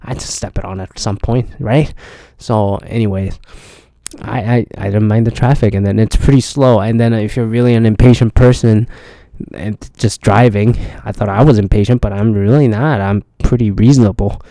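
Tired, profane man with a gravelly voice. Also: lowish voice, fairly even intonation, moderate pace, mellow, dry recording. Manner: rambling, profane, restarts often. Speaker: podcast host. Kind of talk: casual solo travel chat.

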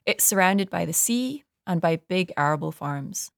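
A woman's voice: fairly low pitch, lively intonation, normal speed, creaky, dry recording, studio quality. A Scottish woman co-hosting a podcast, talking thoughtfully and self-questioningly about her own choices. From Scotland. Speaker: podcast co-host. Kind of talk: reflective personal narration.

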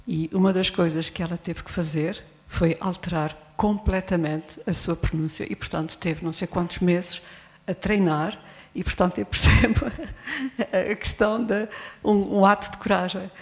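A woman in her sixties speaks up from the audience to tell a brief story. Her voice is on the low side and she keeps a steady, orderly pace. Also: normal speed. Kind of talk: recounting a story.

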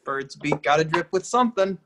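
A man's voice: fairly high pitch, animated, brisk, a bit bright, a little hiss or hum. Casting: man to play brash, over-familiar male bar-goer for a play reading; teasing, pushy, chummy delivery.

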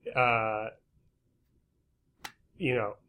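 Man who trails off in halting restarts. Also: on the low side, little pitch movement, bright, forceful tone, clear, resonant tone. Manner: halting, repeats words while searching for his point. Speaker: podcast co-host. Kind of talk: casual conversational chat.

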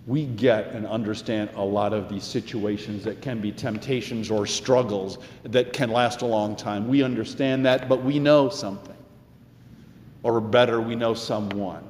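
A male pastor delivering a sermon in an earnest, emphatic way, with deliberate pauses and repeated phrases. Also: lowish voice, darkish tone, slight background noise. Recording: echoey, reverberant church sound.

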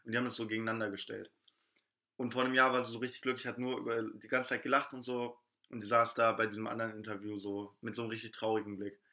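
A man's voice: on the low side, level tone, racing, sharp tone. A young man co-hosting a casual podcast, talking in an enthusiastic, slangy, joking way. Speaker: podcast co-host. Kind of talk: casual chat.

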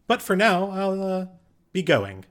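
A man voicing a calm, sly male Vedalken agent who speaks quietly and politely. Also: sits high, a little slow, pitched above the performer's own voice, heavily acted.

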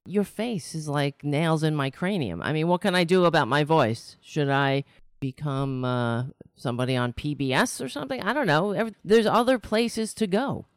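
A woman's voice: low voice, smooth, dry recording, studio quality. A woman from New York City hosting a political livestream show, talking casually and launching into an exasperated, sarcastic rant. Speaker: host of a political talk show.

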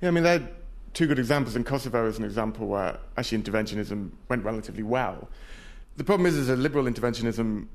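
A man's voice: medium pitch, animated, very fast. A man giving off-the-cuff political analysis as an expert panelist at a live event. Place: live event space before an audience.

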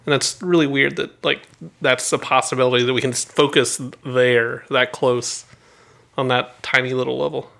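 A man's voice: medium pitch, little pitch movement, moderate pace, vocal fry, sharp tone. A man co-hosting a podcast in casual, dryly teasing banter. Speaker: podcast co-host. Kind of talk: casual comedic chat.

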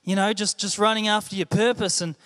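A young man with a New Zealand accent preaches a sermon in a high, light voice. He talks earnestly and conversationally, often doubling back to repeat his words. The sound carries a long echo from a church hall. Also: fairly even intonation, a little fast, fairly bright tone. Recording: echoey hall.

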